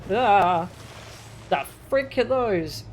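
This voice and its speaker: A woman's voice: medium pitch, expressive, drawn-out words, a little hiss or hum. A woman doing casual live gameplay commentary in a chatty, playful way, often muttering to herself and her chat. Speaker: game streamer.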